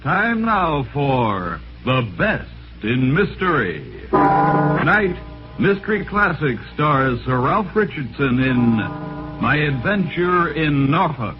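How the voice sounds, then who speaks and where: A man's voice: a little high, animated, slow. A man announcing a vintage radio mystery show in a dramatic style. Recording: dull old radio recording, loud music.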